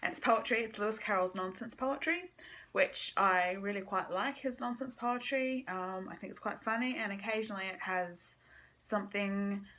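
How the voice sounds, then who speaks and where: A young woman giving a casual, halting book review to camera, informally trailing off and circling back. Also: mid-range voice, bright, forceful tone. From Australia.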